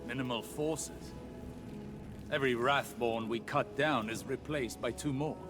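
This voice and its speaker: A man's voice: mid-range voice, unhurried, over music, natural voice. Stern veteran scholar-soldier for a game male actor, clipped grave delivery, score underneath. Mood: probing. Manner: terse, grave, formal. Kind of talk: video game voice acting.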